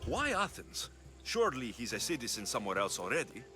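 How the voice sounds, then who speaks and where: A man's voice: medium pitch, huge pitch swings, a little fast, sharp tone. An actor playing a blunt, dry-humored male mercenary in a video game cutscene, with game music behind.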